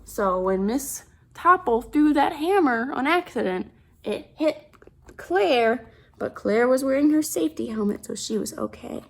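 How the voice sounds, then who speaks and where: A woman's voice: sits high, lively intonation, measured pace, creaky. A woman reading a picture book aloud to children, expressively, with simple asides and questions about the pictures.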